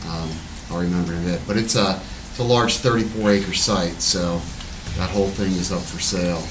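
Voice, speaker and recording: A man's voice: low-pitched, steady tone, fairly quick. A man briefing a township board at a public meeting, matter-of-fact and explanatory. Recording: distant mic in an echoey, noisy room.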